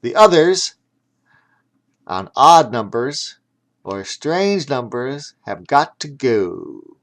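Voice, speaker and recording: A man voicing a strict game announcer who proclaims rulings in an emphatic, drawn-out way for a children's story. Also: medium pitch, sing-song, heavily acted. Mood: commanding.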